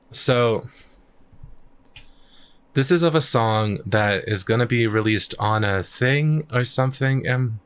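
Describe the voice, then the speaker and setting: A man chatting casually on a live stream, thinking out loud as he works on his music, his voice a little croaky. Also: medium pitch. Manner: rambling, thinks aloud while working. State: slightly croaky voice. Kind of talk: casual livestream chat.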